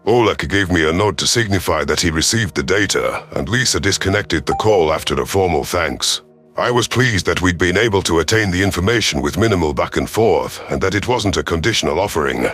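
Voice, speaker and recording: A man's voice: deep voice, expressive, fairly quick, fairly bright tone, soft background music. A man reading a first-person science fiction story as dramatic scripted narration, in the part of a grave alien commander. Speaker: narrator of a sci-fi audio story.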